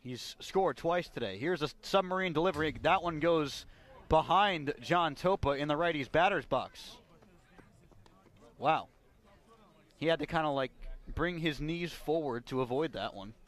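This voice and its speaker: A man's voice: fairly high pitch, lively intonation, a little slow, fairly bright tone. A man giving live radio play-by-play commentary as a baseball announcer.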